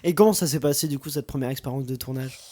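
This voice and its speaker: Informal man hosting, halting delivery. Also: mid-range voice, warm tone. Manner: informal, halting, reformulates questions. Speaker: interview host. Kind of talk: casual interview hosting.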